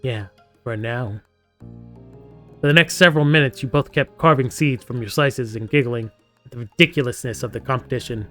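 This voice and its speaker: A man's voice: medium pitch, quick pace, sharp tone, faint music behind. A man hosting a gaming live stream, playfully reading story text aloud and breaking off into theatrical, jokey asides.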